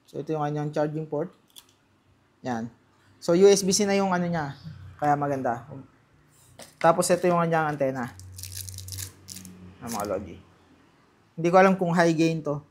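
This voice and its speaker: A man with a Filipino accent giving casual, unscripted unboxing commentary, halting and thinking aloud as he handles things. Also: fairly high pitch, expressive, a little mellow. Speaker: vlogger presenting product unboxing.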